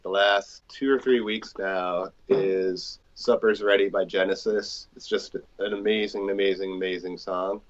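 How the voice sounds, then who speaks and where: A man's voice: fairly low pitch, fairly bright tone. A man chatting casually as a band member in a group interview. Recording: dull, muffled lo-fi sound.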